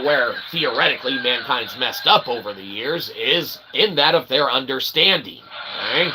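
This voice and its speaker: A man hosting a casual, rambling livestream, self-deprecating and excitable, speaking of himself as "we.". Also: mid-range voice. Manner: refers to himself as "we". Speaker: livestream host. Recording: clearly noisy background ambience.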